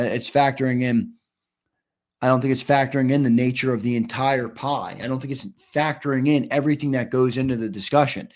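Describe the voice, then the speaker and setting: A man hosting a live sports talk stream, reading viewer comments and arguing back, emphatic and repetitive, his voice going hoarse. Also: medium pitch. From United States. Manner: argumentative, emphatic, repeats himself for stress.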